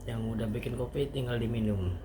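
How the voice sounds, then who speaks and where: A man giving an informal language lesson as a teacher, explaining haltingly step by step with casual asides. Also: mid-range voice. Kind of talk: informal online grammar lesson. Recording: faint background noise.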